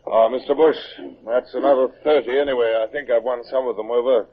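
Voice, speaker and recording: An actor playing a stern but humane British naval captain in an old-time radio drama, with a commanding, authoritative manner. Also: male voice, mid-range voice, steady tone, fast, slight background noise, lightly acted. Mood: brooding, reflective. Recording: muffled, narrow old radio recording.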